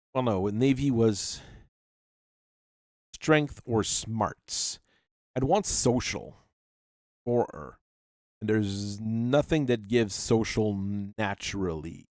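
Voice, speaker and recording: A man at a middle pitch, thinking out loud as he builds a tabletop game character. He reads rules aloud in a halting, musing way. His voice is close and dry, with no room sound around it. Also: no room sound. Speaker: channel host. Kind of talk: talking through tabletop character creation.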